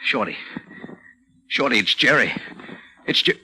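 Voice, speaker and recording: A man's voice: medium pitch, lively intonation, a little slow, slightly rough, full character voice. A man playing a cocky, slangy small-time crook in an old radio drama. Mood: tense. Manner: slangy, glib. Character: cocky smooth-talking male crook, glib but easily rattled. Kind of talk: 1940s radio drama acting. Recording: noisy, bright old radio recording.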